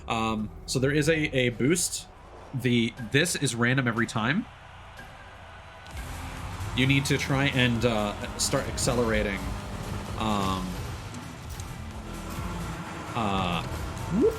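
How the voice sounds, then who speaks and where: A man giving casual, explanatory livestream commentary on a video game, thinking aloud with hesitant pauses. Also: medium pitch. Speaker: video game live streamer. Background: music behind the voice.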